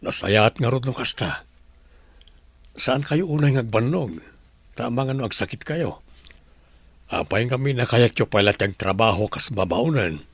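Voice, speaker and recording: A man's voice: medium pitch, lively intonation, a little slow, pauses often, breathy edge, no room sound. A man playing a considerate but firm household employer in an audio drama. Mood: kindly. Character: considerate male household employer, firm about his family.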